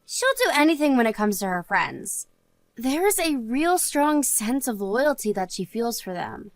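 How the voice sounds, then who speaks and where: A woman's voice: fairly high pitch, sing-song, a little slow, no echo. A woman playing an earnest married woman and loyal friend in a scripted story drama. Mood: calm, chatty.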